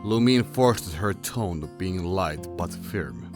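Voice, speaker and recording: A man's voice: low voice, expressive, slow pace, music in the background. A man giving scripted, dramatic narration as the storyteller of an audio drama.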